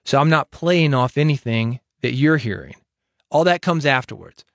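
A man's voice: mid-range voice, normal speed, creaky, dry recording. A man hosting his own podcast alone, giving direct, confident advice into a studio microphone. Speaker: podcast host. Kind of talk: solo podcast talk.

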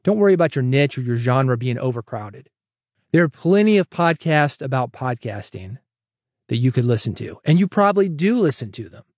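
A man's voice: medium pitch, very animated, moderate pace, a little mellow, dry recording, clean studio sound. A man hosting his own solo podcast, talking casually and coaching listeners, often calling them "man". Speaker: podcast host.

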